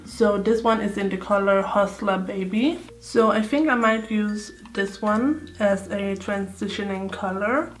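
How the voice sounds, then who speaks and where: A young woman with a slightly hoarse voice, chatting casually through a makeup tutorial at home. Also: mid-range voice. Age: young adult. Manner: chatty, thinking aloud while applying makeup. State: slightly hoarse, sore throat. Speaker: beauty vlogger. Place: indoor room at home. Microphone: close camera facing her.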